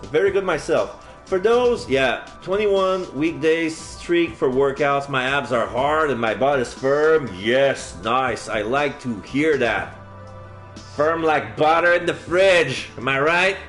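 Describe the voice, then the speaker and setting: A man's voice: medium pitch, animated, slow, a bit bright, roomy sound, faint music behind. A man in his forties from French Canada with a French Canadian accent, chatting casually on a live stream, rambling with lots of fillers and restarts. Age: 40s.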